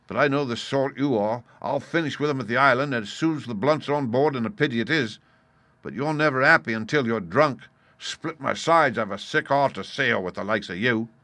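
A man's voice: medium pitch, fairly bright tone, no echo, voice pushed up. A man voicing a blunt, cynical young pirate sailor in a storybook, complaining in seafaring talk. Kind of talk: dramatised storybook reading.

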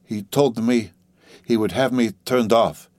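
A man voicing a desperate, ashamed young wife in an audiobook, pleading and halting as the words come out. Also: mid-range voice, steady tone, darkish tone, dry recording, studio quality, close to an everyday voice. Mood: quiet, ashamed. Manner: wailing, halting, gasping, repeating pleas. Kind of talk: dramatic audiobook character reading.

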